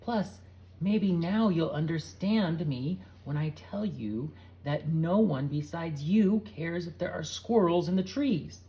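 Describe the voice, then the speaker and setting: A man's voice: a little high, animated, slow pace, dark tone, voice pushed up, rough recording. A man voicing a frazzled boy who scolds his unruly dogs. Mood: exasperated. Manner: exasperated, scolding.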